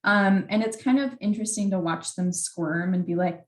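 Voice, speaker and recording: A woman's voice: mid-range voice, steady tone, moderate pace. A young adult woman giving informal advice as a graduate student mentor in a workshop, conversational and candid, often saying "like". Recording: roomy, echoey sound.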